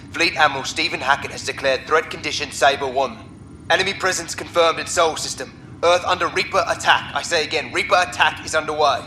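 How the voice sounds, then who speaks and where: An actor playing an urgent military officer broadcasting an emergency alert with clipped authority. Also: male voice, medium pitch, sharp tone, slight background noise, natural voice, rough recording.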